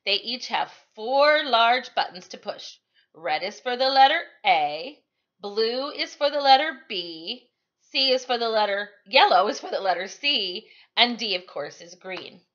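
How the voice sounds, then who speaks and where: A woman's voice: on the high side, expressive, a little slow, a bit bright, highs cut off. A woman voicing a friendly, encouraging male stage manager who explains things kindly in a storybook reading. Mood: welcoming, explanatory.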